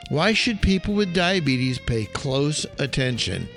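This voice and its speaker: A man's voice: a little high, very animated, measured pace, gravelly, fairly bright tone, faint music behind. A man hosting a podcast with an enthusiastic, emphatic delivery, as if reading an upbeat script. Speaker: podcast host, chef.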